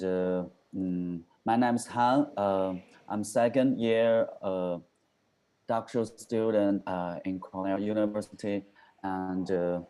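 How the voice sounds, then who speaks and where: A man who is a doctoral student introduces himself on a video call, halting with many fillers. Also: deep voice, mellow, clean tone.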